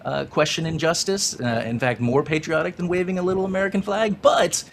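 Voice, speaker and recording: A man's voice: on the high side, brisk, vocal fry, a little hiss or hum. A man co-hosting a casual soccer talk show, enthusiastic and rambling, with many fillers. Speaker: podcast co-host.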